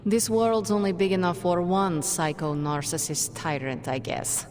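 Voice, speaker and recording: A woman's voice: fairly low pitch, steady tone, a little slow, a little mellow, slight background noise, natural voice. A woman playing a brash, wisecracking hero in a video game, sarcastic and impatient. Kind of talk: video game cutscene acting. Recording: long reverb, faint music.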